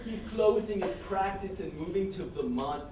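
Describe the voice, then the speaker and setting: A man acting as an earnest, smitten neurotic on stage, stumbling through awkward confessions. His pitch swings widely, and he is heard from far off in a very echoey space. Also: animated, moderate pace. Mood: rambling, earnest. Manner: awkward, hesitant, stammering confessions. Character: neurotic, earnest, smitten male therapy patient. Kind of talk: comic stage play acting. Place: stage performance at a college, distant microphone. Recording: echoey hall from far off, noisy.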